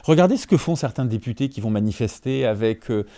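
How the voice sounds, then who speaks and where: A man's voice: medium pitch, lively intonation, fast, mellow. A man in his fifties, a journalist guest in an interview, making emphatic points and often checking that the listener follows. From Franco-Iranian. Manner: emphatic, peppered with "en fait" and rhetorical checks. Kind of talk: interview answers, argumentative.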